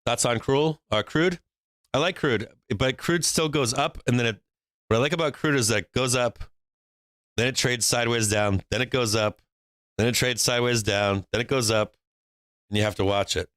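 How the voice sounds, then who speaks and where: A man's voice: mid-range voice, normal speed, fairly bright tone, dry recording. A man from Boston hosting a live stock trading stream, brash and joking, laughing often between calls. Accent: faint Boston. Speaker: stock market livestream host.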